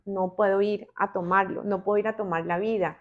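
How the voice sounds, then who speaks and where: A middle-aged woman with a Colombian accent, talking reflectively and deliberately, with pauses, as a podcast guest. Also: medium pitch, steady tone, muffled recording. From Bogotá, Colombia. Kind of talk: reflective personal interview talk.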